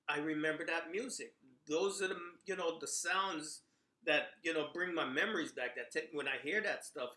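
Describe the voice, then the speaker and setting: Middle-aged New man, bright, slightly high voice, chatty storyteller, echoey room. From New York, Bronx. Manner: conversational, frequent "you know" and "right?". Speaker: commentator sharing memories. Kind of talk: casual monologue.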